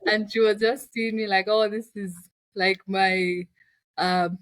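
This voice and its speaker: A woman's voice: mid-range voice, fairly even intonation, a little slow. A woman with a Zambian accent telling her personal story as an interview guest, in a reflective, conversational way. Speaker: interview guest, finance business founder.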